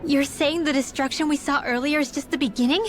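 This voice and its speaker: A woman's voice: sits high, steady tone, a little fast, music in the background, natural voice. A woman playing an anxious, earnest young girl adventurer in a video game cutscene. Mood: worried, questioning.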